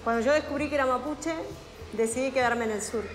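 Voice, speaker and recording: A woman's voice: high voice, brisk, slight background noise. A woman in her thirties with an Argentine accent, telling her personal story to a listening group. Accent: Argentine Spanish. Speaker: Mapuche activist and documentary protagonist. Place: classroom, indoors. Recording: echoey classroom with music behind.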